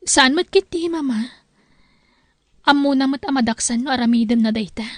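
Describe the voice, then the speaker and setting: Woman doing hurt proud young wife, ilocano accent, really high voice, dry sound, family drama. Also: normal speed, no echo. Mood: aggrieved. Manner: aggrieved, protesting.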